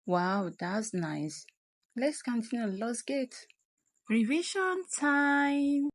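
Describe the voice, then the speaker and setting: A woman giving a language lesson, explaining deliberately and enunciating example phrases. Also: a little high, expressive, no echo, studio quality. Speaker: German language teacher.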